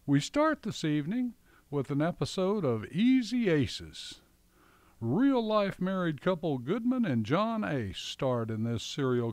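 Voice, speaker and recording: A man's voice: fairly high pitch, a little mellow, no room sound. A man giving a scripted introduction as the host of a radio programme.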